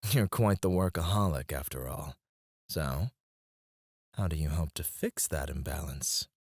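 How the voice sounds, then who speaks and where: Man acting as a deep-voiced, suave possessive CEO. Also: dry recording, clean studio sound, exaggerated voice. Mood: softly possessive. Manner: measured, suave, soft chuckles and sighs. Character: smooth, possessive male CEO, outwardly gracious, quietly controlling. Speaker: ASMR roleplay voice actor. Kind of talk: intimate scripted ASMR roleplay.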